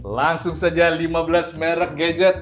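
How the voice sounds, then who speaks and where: A man hosting a quiz show with lively game show banter. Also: on the high side, moderate pace. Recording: loud music bed behind the voice. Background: music.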